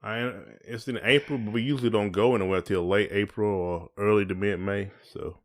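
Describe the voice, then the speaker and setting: Low-voiced American man chatting casually. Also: fairly even intonation, fairly quick. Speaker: podcast host. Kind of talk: casual chat.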